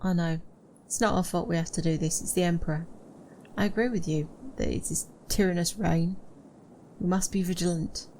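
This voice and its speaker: A woman's voice: on the low side, fairly even intonation, moderate pace, dark tone, slight background noise, lightly acted. A woman acting a determined, protective, poor young woman in an audio drama. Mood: resolute, reassuring. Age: young adult.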